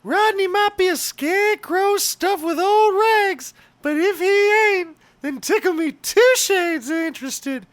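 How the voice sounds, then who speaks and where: An actor voicing an excitable male bum in a shrill, exclamatory whoop for a comedy audio drama. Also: slow, hardly any pauses, no echo, exaggerated voice. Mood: gleeful.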